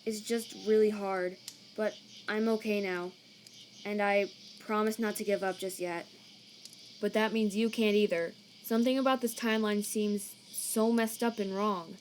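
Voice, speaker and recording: A woman's voice: medium pitch, fairly even intonation, darkish tone, slight background noise, natural voice. A laid-back male cartoon skeleton acted out by a woman talks in a middling register. He is slangy and protective, with a weariness that makes him halt over his words. Night insects chirp faintly behind him. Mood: shaken, resolute. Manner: casual, slangy, halting when troubled. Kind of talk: comic dub acting. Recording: cricket night ambience behind.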